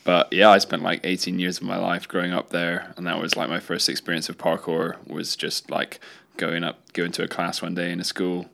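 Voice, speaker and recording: A man's voice: low-pitched, flat delivery, normal speed, creaky, hardly any pauses, fairly bright tone. A young man from northern Scotland, talking hesitantly with many fillers in a casual interview. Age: young adult.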